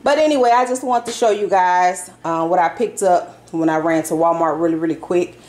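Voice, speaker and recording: A woman vlogging from her home kitchen, talking viewers through her groceries in a relaxed, chatty way full of fillers and y'all. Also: on the low side, brisk. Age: adult parent. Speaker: vlogger showing a grocery haul. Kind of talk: casual chatty haul vlog.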